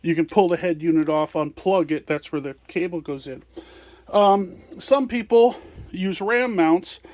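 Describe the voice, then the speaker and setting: Casting: male product presenter, notably high-pitched, informal hands-on walkthrough delivery. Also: measured pace, a little mellow, no echo. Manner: hands-on explaining while handling items.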